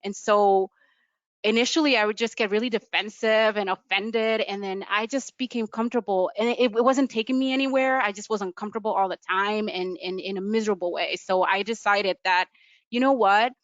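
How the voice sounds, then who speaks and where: A woman's voice: mid-range voice, fairly quick, no room sound. A woman from the United States, earnestly reflecting on her own experience as a panelist over a video call. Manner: earnest, reflective, loose with fillers. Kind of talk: personal account on a panel. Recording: dull lo-fi video call audio.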